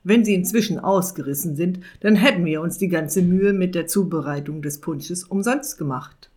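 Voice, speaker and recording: A woman voicing an irritable, scheming male wizard with a gruff, impatient manner in a storybook reading. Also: on the low side, normal speed, a little mellow, lightly acted.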